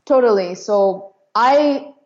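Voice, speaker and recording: A woman in her late twenties from East and southern Africa shares personal experience as an interview guest. Her pitch is middling for a woman and her delivery is conversational and reflective. The recording sounds slightly dull and lo-fi. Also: takes it slowly, a little mellow. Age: late 20s. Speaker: podcast guest.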